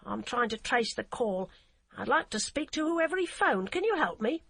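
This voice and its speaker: A woman's voice: fairly high pitch, very animated, moderate pace, creaky, fairly bright tone, breathy edge, pitched above the performer's own voice, exaggerated voice. A woman voicing a blunt, flustered female detective sergeant. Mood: earnest.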